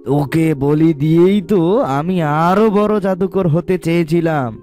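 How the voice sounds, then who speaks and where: A man's voice: fairly high pitch, a little slow, a little mellow, close to an everyday voice. A man playing a boastful, threatening evil sorcerer in a cartoon. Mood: threatening, gloating. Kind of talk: cartoon villain acting. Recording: music behind the voice.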